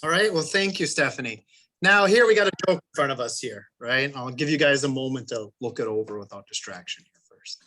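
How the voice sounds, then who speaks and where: A man's voice: mid-range voice, animated, brisk, bright, forceful tone. A man with a mid-range voice speaks as a panelist on an online webinar. He is easygoing and slips in jokes. The sound is that of a video call. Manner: easygoing, joking. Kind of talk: webinar presentation.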